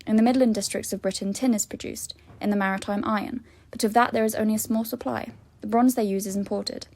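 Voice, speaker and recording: A woman's voice: medium pitch, fairly even intonation, fast, a little mellow. Young woman reading formally and steadily. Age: young adult. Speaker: student reader. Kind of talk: dramatic reading of a historical text.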